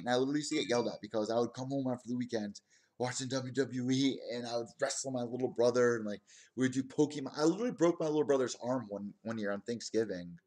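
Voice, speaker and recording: A young man in his twenties runs a solo stream, chatting straight to his audience. He rambles excitably from one aside to the next and keeps circling back to his point. His voice sits at an ordinary middle pitch for a man. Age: 20s. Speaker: podcast host. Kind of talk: casual solo stream commentary.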